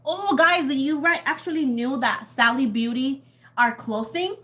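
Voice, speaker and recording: A young woman with a Puerto Rican accent chats loosely with her livestream viewers, her voice sitting a little high. She rambles from one thing to the next, laughs a lot and swears offhandedly. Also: a little slow, sharp tone. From Puerto Rico. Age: young adult. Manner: chatty and rambling, laughs often, swears casually. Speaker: tarot reader. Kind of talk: casual livestream chat with viewers.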